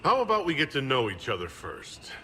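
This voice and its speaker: A man voicing a measured male leader in a video game cutscene, asking calm, skeptical questions. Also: medium pitch, a little hiss or hum.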